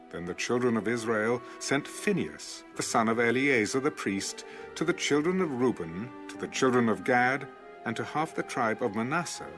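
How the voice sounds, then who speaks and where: A man narrating a dramatized audio Bible in a steady, formal way. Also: medium pitch.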